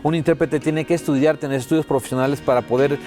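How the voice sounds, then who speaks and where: A man with a Mexican accent voicing a deaf guest's words as an interpreter in a TV interview, steady and first-person with many "eh" fillers. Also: on the high side, fast talker, faint music behind.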